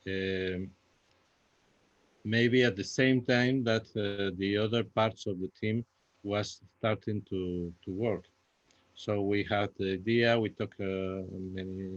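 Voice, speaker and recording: A man with a Spanish accent answering panel questions in English as a second language, halting and repeating himself as he searches for words. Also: sits low. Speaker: playwright guest. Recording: dull lo-fi, echoey room sound.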